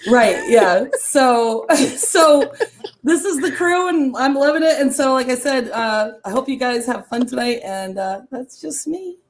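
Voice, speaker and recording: Hoarse high-voiced woman, laughing. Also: expressive, measured pace, a little hiss or hum. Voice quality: hoarse, raspy voice. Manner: apologetic, laughs often. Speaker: co-host.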